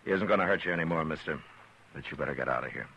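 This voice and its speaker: A man playing a grave, weary frontier marshal in a 1950s radio drama, calm and measured. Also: rumbling low, background noise. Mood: stern. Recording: old radio broadcast.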